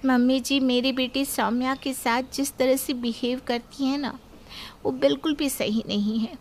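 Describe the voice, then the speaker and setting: A woman voicing a young mother who calmly stands up for her daughter. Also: sits high, unhurried, a little hiss or hum. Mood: earnest.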